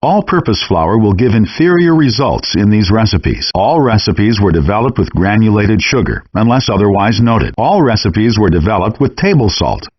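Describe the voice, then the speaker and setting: A man reading scripted cooking-game instructions in a measured, clearly enunciated, instructive way, in compressed audio. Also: lowish voice, sing-song, no room sound, muffled recording.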